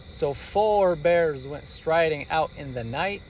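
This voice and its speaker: Animated, slow-paced male storyteller. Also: fairly high pitch, a little hiss or hum, low-quality recording. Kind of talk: expressive children's story read-aloud, rhyming narration.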